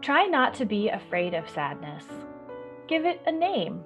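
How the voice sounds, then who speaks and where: A woman hosting a children's storytime, reading and explaining in a soft-spoken, reassuring, measured way. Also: mid-range voice, very animated, highs cut off, over music. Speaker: children's library storytime host. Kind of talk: gentle picture-book reading and explaining to children.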